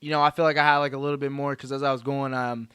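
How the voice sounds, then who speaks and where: A man's voice: medium pitch, little pitch movement, racing, fairly bright tone, clean tone, no room sound. A young man from Hawaii, a comedian telling a laid-back, laughing story in a casual interview after his set. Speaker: comedian guest. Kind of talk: casual post-show interview.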